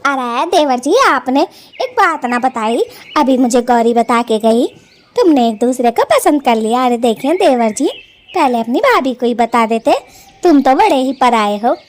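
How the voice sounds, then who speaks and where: A woman's voice: sits high, lively intonation, measured pace, pitched above the performer's own voice. A woman voicing a bossy, doting elder sister bird in a cartoon story, with a coaxing, folksy, emphatic manner. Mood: delighted, teasing.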